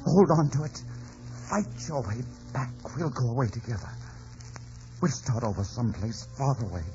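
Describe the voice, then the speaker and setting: An actor playing a bitter, passionate, tormented lover, intense and theatrical, in radio drama style. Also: male voice, medium pitch, big pitch swings, moderate pace, close to an everyday voice. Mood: impassioned. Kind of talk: 1950s radio drama acting. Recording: dull old radio broadcast, music behind.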